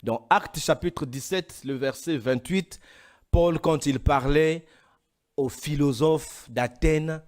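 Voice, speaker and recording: A man preaching a sermon, from Congo, emphatic and rising into louder, higher passages. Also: takes it slowly.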